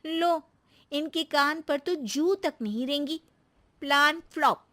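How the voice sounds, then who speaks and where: A woman's voice: sits high, slow, bright, forceful tone, full character voice. A woman voicing a polite, exasperated young daughter-in-law in a family story, respectful and pleading. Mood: resigned.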